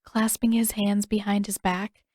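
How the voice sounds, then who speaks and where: A woman's voice: mid-range voice, level tone, unhurried, darkish tone, dry recording, studio quality. A woman reading scripted story narration as an audiobook narrator.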